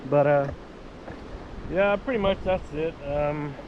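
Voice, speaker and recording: A man's voice: on the high side, takes it slowly, phone-quality sound. A man giving a casual, improvised product demonstration as a vlogger, explaining things in an informal, meandering, easygoing way outdoors by the water. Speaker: vlogger demonstrating marine VHF radios. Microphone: action camera. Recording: windy outdoor recording with water noise.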